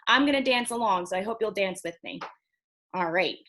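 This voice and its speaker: A woman librarian reading picture books aloud to children, expressive and encouraging, often putting questions to her young listeners. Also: mid-range voice, fairly quick.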